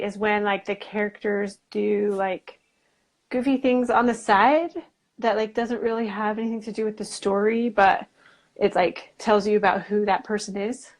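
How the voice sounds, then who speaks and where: Chatty American woman, rambling casually. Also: medium pitch. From Utah, United States. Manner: chatty, rambling, uses "like" often. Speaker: guest, illustrator and writer. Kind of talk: casual chat.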